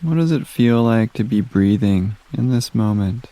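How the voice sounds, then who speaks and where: A man guides a meditation at an unhurried, very slow pace. His voice is mellow and relaxed, with gentle, soothing instructions separated by long pauses. The sound is dry, with no room echo. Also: fairly low pitch.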